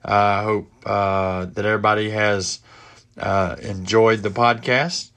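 Middle-aged man, stuffy head cold, podcast host, slightly low voice, halting with lots of ums and uhs, home office. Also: flat delivery, a bit bright. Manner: halting, many fillers and restarts. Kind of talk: casual solo podcast talk.

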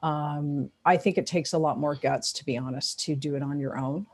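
Husky low-voiced Toronto woman host. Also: steady tone, normal speed. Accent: Toronto Canadian. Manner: chatty, enthusiastic, warm toward guest. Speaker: podcast host. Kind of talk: casual interview chat.

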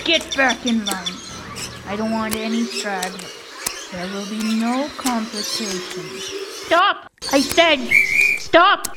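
A woman plays a stern asylum warder in a radio play. She is commanding and curt, with slow, measured words in a highish voice. Clear noise sits behind her. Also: animated. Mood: furious. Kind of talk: audio play acting.

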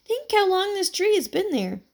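Bright, wistful young girl in a read-aloud story, voiced by a woman. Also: very high voice, sing-song, moderate pace, voice pushed up, heavily acted.

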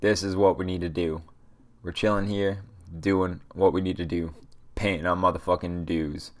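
A man's voice: sits low, little pitch movement, normal speed. A young man hosting a solo podcast, ranting in a rambling, profane, motivational way, stuffy-nosed from a cold. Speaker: podcast host. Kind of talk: casual solo podcast rant.

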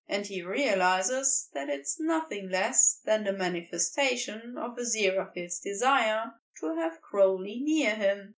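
A woman reads fiction aloud in a fairly high voice. Her delivery is very slow and measured, each phrase placed with care. She sounds a little way off from the microphone. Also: far from the mic. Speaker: podfic reader. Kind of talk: scripted story narration.

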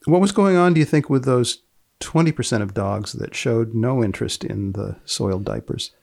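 Man, podcast host, deadpan, raspy with some fry, dry studio sound. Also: medium pitch, moderate pace, no echo. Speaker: podcast host and magazine editor. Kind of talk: interviewing a guest about a research paper, scripted sign-off.

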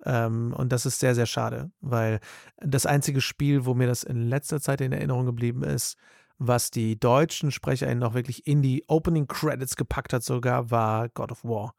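A man with a gravelly, fry-tinged voice talks about his craft in a relaxed interview. He speaks animatedly, thinks out loud and peppers his sentences with fillers. The sound is dry and close to the mic. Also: medium pitch, moderate pace, no room sound. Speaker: voice actor and dubbing director. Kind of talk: casual podcast interview.

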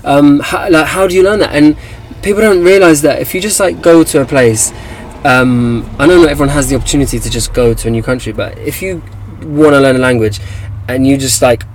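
A man's voice: on the high side, fairly quick, slight background noise, rough recording. A young man with an English accent, a guest in an interview, talking casually with many fillers and restarts. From England. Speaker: guest, activist.

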